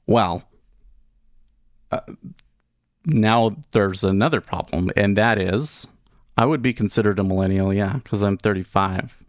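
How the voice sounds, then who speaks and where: A man in his thirties talks loosely to his livestream viewers, circling back and restarting his sentences as he goes. His voice sits fairly low, and the sound is dry and close, with no room echo. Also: a little slow, pauses often. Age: 30s. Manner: rambling, with many restarts and asides. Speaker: livestream host, day trader. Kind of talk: casual livestream commentary.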